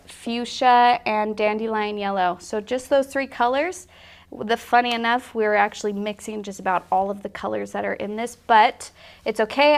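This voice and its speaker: Chatty woman with a gravelly voice. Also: a little high. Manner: chatty, laughs often, frequent fillers. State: slight cold. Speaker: host of a live painting tutorial. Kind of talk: casual live-stream tutorial talk. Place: art studio.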